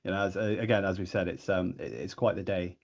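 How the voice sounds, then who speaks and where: Gravelly-voiced hesitant male podcast host. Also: low-pitched, quick pace, muffled recording. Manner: hesitant, lots of "you know" and restarts. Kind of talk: podcast interview. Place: small hot room.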